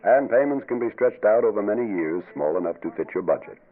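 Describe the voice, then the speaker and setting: A man's voice: on the low side, expressive, fast talker. A man reading a scripted radio advertisement as an announcer, persuasive and emphatic. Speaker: commercial announcer. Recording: tinny band-limited radio recording.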